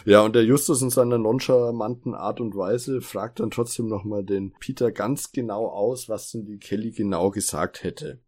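A man's voice: lowish voice, fairly even intonation, normal speed, few pauses, a little mellow. A man co-hosting a podcast, casually retelling an audio drama episode and paraphrasing the characters' dialogue. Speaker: podcast co-host.

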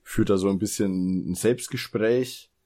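A man's voice: lowish voice, measured pace, warm tone. A man co-hosting a podcast in casual, teasing conversation, often chuckling. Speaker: podcast co-host.

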